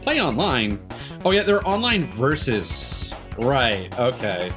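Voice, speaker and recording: A man giving casual live game commentary, rambling and thinking aloud with halting, mid-sentence pauses. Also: mid-range voice, very animated. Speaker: game streamer. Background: video game music.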